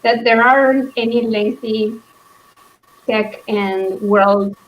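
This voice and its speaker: A woman with a fairly high voice hosts a casual book chat. She feels her way through her thoughts aloud, hesitating as she goes. Noticeable background noise sits behind her voice. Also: fairly even intonation, slow, rough recording. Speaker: book club host.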